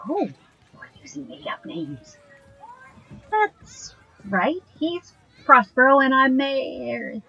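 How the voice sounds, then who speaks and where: A woman playing a sweet, playful young girlfriend from Canada in an audio drama, with a breathy, husky voice and a gently teasing manner. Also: sits high, very animated, takes it slowly, pauses often, highs cut off, slight background noise, heavily acted. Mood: charmed, playful.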